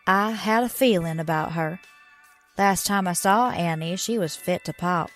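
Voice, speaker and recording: A woman's voice: lowish voice, a little slow, a bit bright, soft background music. A woman playing a folksy, teasing small-town diner waitress with sassy, colloquial talk in a radio drama. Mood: teasing, friendly.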